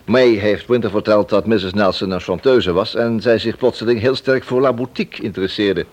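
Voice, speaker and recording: A man playing a firm, formal police inspector in a Dutch radio drama, speaking in a measured, matter-of-fact official way. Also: lowish voice, a little mellow, natural voice. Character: businesslike male police inspector making an arrest, firm and formal. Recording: dull, lo-fi radio drama recording.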